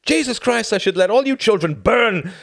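A frantic young scholar, doom-obsessed and profane, his voice pushed high and loud into outbursts, as a male reader puts him on. The speech swings widely in tone and stays close and dry. It is a storybook figure at the end of his tether. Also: animated, normal speed, dry recording, close to an everyday voice. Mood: furious. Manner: shouting, passionate, profane.

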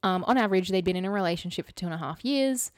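A woman's voice: fairly low pitch, expressive, rapid-fire. A woman co-hosting a podcast, chatting casually and summarising articles in an informal, explanatory way with wry asides. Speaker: podcast co-host.

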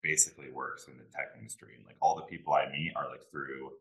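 A young man co-hosts a casual podcast, speaking with an extremely deep, low voice. He rambles loosely, padding nearly every phrase with "like" and "kind of". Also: fairly even intonation, quick pace, creaky, fairly bright tone. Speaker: podcast co-host. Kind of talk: casual chat.

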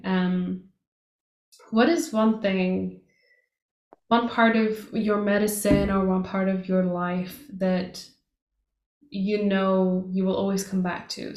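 A woman from Portugal hosting a casual podcast interview, affirming her guest and often pausing with "um". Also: lowish voice, darkish tone. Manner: affirming, lots of "um" fillers. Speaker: podcast host. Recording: echoey room.

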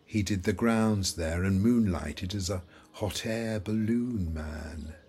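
A man's voice: lowish voice, takes it slowly, darkish tone. An older man acting in an audio play as a forgetful elderly widower, rambling fondly and wistfully through memories. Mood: fond reminiscing. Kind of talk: audio drama acting, reminiscing.

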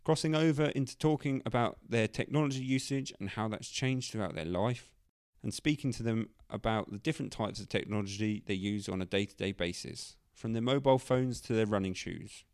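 A man's voice: lowish voice, brisk, hardly any pauses, smooth, no room sound. Man reading a dry podcast intro. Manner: reads a long intro in steady run-on sentences. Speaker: podcast host. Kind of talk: scripted show introduction.

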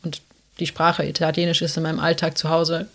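A woman's voice: low-pitched, level tone, a little fast. A young woman from South Tyrol with a South Tyrolean German accent, chatting casually on a podcast, rambling with many fillers. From South Tyrol, Italy. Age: young adult. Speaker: podcast co-host. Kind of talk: casual chat.

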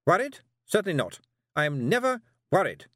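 A man's voice: fairly high pitch, wildly swooping pitch, normal speed, a bit bright, dry recording, studio quality, voice pushed up. A prim, flustered witch, as a male reader puts her on. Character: fussy nervous brisk woman witch. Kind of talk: audiobook character voice.

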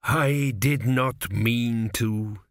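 A man voicing a very old high priest in an audiobook, calm, grave and measured, with quiet authority. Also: no echo, clean studio sound. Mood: weary regret. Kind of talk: audiobook character voice.

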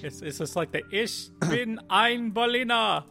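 A man's voice: high voice, expressive, measured pace, gravelly, bright, forceful tone, faint music behind. A young man co-hosting a casual podcast, joking and laughing often with disbelief. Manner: laughs often, jokey and incredulous. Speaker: podcast co-host. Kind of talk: casual banter over a game.

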